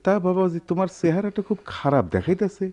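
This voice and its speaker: An actor playing a world-weary old village uncle with a rural Bangladeshi accent, plaintive and lamenting, in a radio drama. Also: male voice, sits high, fast, dark tone, lightly acted. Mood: concerned. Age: older.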